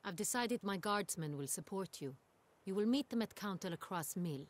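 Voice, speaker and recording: A woman's voice: mid-range voice, expressive, moderate pace, lightly acted. A woman playing a gracious, commanding duchess in a video game, speaking formally and with poise. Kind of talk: video game cutscene dialogue.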